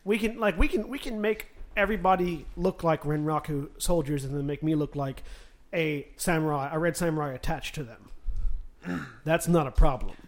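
A man's voice: on the high side, moderate pace. A man playing a tabletop game, planning eagerly and often restarting his phrases. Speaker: player.

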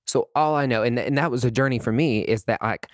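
A man's voice: medium pitch, fast, no echo, clean studio sound. A young man giving a sassy, emphatic rant to the camera, calling people honey and sweetie. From American South. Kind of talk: impassioned direct-to-camera rant.

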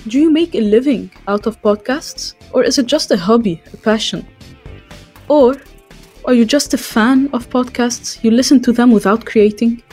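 A woman's voice: on the high side, normal speed, warm tone, faint music behind. A woman from France hosting a podcast, reading out a scripted introduction and survey questions. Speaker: podcast host.

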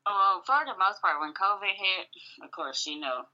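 A raspy-voiced woman from Atlanta, a singer and model, talking laid-back as a guest on a phone interview, full of "you know"s. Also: medium pitch, moderate pace, bright, forceful tone. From Atlanta, Georgia, US. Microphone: phone line. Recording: dull lo-fi phone audio.

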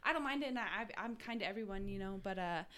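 A young woman with a soft voice, a pro fighter chatting casually as a podcast guest, laid-back and swearing freely. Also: medium pitch, expressive, quick pace.

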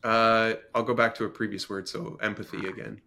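A man speaking hesitantly in a casual group chat as a roundtable panelist, with many pauses and fillers. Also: fairly low pitch, little pitch movement, vocal fry, pushed and bright.

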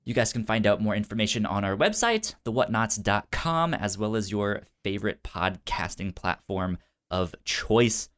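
A man co-hosting a casual film review podcast, speaking informally and haltingly, with a frequent stutter that repeats sounds and syllables. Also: lowish voice, animated, a bit bright. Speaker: podcast co-host.

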